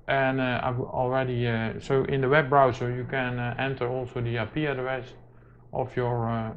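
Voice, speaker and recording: A man's voice: medium pitch, flat delivery. A man gives an unscripted how-to demonstration, hesitating often with many filler sounds. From Netherlands. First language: Dutch. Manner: hesitant, halting delivery with very frequent filler sounds. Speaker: tech tutorial presenter. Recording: dull lo-fi camera audio, clear noise.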